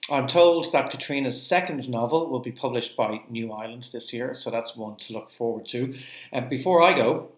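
A man, a museum director, reading formal welcome announcements in a measured way, with occasional fillers. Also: mid-range voice. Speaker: museum director hosting an online event. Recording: roomy echo.

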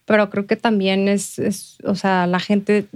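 A woman with a medium-pitched voice and a Mexican accent chats as a podcast interviewer. She is agreeable and informal, chiming in and echoing as she talks. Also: level tone, measured pace, darkish tone. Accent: Mexican Spanish. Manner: agreeable, chimes in and echoes the other speaker. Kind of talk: casual conversational interview.

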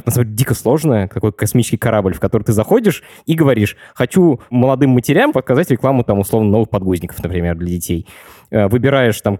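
Fast-talking man with a dark, husky voice. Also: mid-range voice, no room sound, studio quality. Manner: explanatory, quick and chatty. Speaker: podcast host. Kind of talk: podcast conversation.

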